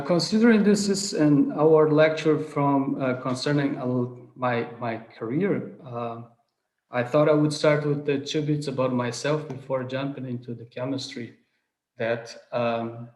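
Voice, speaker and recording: A man's voice: mid-range voice, fairly even intonation, a little slow, darkish tone. A man in his thirties from southern Brazil, a non-native speaker giving an earnest online award lecture, full of hesitations and restarts. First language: Portuguese. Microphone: video call webcam. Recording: roomy video call audio.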